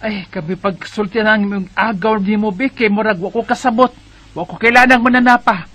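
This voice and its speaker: A woman's voice: mid-range voice, fairly even intonation, fairly quick, a bit bright, natural voice. A woman playing an older fussing mother. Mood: concerned. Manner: inquisitive, fussing. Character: worried mother of a man searching for his family. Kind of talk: radio drama acting. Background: music.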